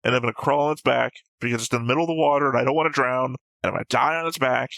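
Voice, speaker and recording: Guy with bright gravelly voice, close dry sound, swears a lot, argues bluntly in casual chat. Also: mid-range voice, very fast, no echo. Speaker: host of anime reaction show.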